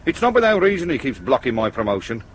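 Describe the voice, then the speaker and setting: A man's voice: medium pitch, very animated, quick pace, close to an everyday voice. Sardonic British detective sergeant played by a male actor, blunt and ambitious, radio drama, faint background noise. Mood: sardonic, grumbling. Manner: dry, sarcastic, blunt.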